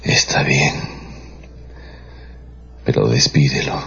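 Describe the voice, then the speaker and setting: A man's voice: extremely low pitch, fairly even intonation, slow, vocal fry, pushed and bright, husky, full character voice. An actor playing a possessive, jealous married count, besotted with his mistress, in a grave and intense radio drama style. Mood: wounded, stern. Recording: dull radio production with music underneath.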